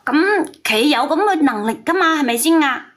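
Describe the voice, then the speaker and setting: A woman's voice: high-pitched, lively intonation, a little fast, a bit bright. A woman arguing on a live call-in, defensive and plaintive as she explains herself.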